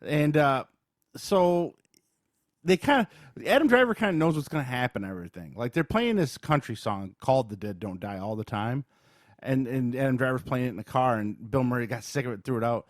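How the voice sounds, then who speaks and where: A man's voice: medium pitch, lively intonation, brisk, dry recording, studio quality. A man co-hosting a podcast, reviewing in a casual, informal way and thinking aloud as he goes. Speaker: podcast co-host. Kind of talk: casual chat, movie review.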